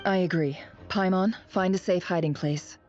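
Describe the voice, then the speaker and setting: A woman playing a resolute, sharp-witted fighter in a video game, composed, clipped and confident. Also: lowish voice, moderate pace, close to an everyday voice. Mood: calm resolve. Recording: muffled game audio under loud music.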